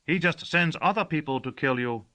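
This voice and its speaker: A man voicing a cheerful, excitable heavy-set servant who keeps forgetting things. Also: a little high, moderate pace, pitched above the performer's own voice.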